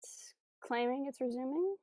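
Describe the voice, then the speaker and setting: A woman's voice: high voice, normal speed, dark tone, no room sound, clean studio sound. A woman co-hosting a podcast, talking in a casual, conversational way. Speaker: podcast co-host. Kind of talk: casual chat.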